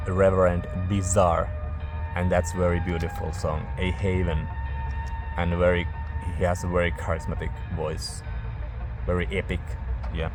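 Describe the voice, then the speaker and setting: A man casually reviewing albums in a halting way, searching for words as he goes. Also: low-pitched, level tone, over music. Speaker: music reviewer.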